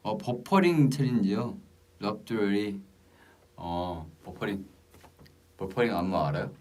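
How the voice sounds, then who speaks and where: A young man chatting casually on a livestream, reading out comments with hesitant pauses between short remarks. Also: fairly low pitch, a little mellow, far from the mic. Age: young adult. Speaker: idol group member hosting a livestream.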